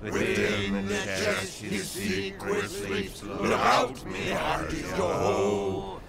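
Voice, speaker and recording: Gravelly pirate shanty singer. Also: male voice, medium pitch, sing-song, drawn-out words, fairly bright tone, heavily acted. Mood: rousing. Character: hearty swashbuckling pirate singing a sea shanty. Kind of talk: theatrical sea shanty singing.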